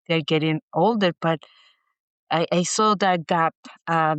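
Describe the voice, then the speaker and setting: A middle-aged woman from Chile, a guest speaking haltingly with many restarts and repeated words in a casual interview. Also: sits low, smooth, dry recording, highs cut off. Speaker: guest, inventor.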